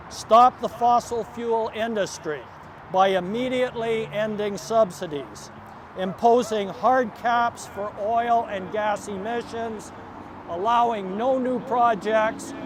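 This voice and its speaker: High-pitched man reading over clear street noise. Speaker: activist reading an open letter. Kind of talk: formal public statement read aloud at a protest. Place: outdoors at an office-front protest. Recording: outdoor street noise and traffic.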